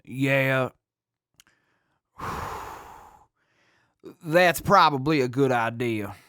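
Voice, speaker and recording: A man playing a drunk, sheepish, good-natured crewman in an audio drama, rambling haltingly with slurred speech. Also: mid-range voice, pushed and bright, smooth, no room sound, clean studio sound. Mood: dazed.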